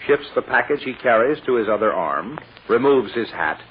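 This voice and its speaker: A man announcing and narrating a 1940s radio drama in a scripted, measured way with deliberate dramatic pauses. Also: mid-range voice, expressive. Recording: muffled, hissy old radio recording.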